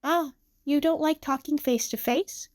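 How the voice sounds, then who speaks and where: A woman voicing a gentle, kind virtual singer girl who speaks softly and reassuringly. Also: high voice, unhurried, no room sound, clean studio sound, voice pushed up. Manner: soft and reassuring.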